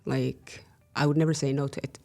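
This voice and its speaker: A woman's voice: extremely low pitch, little pitch movement, fairly quick, vocal fry, dark tone, faint music behind. A woman in her thirties, born in Somalia with Somali as her first language, talking casually as a podcast guest, wry and full of "like, you know.". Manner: frequent "like, you know" fillers, wry humor. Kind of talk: casual conversation.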